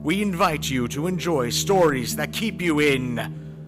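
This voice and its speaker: A man's voice: on the high side, lively intonation, measured pace. A man narrating as a dramatic announcer in the style of old-time radio suspense. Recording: loud music under the voice.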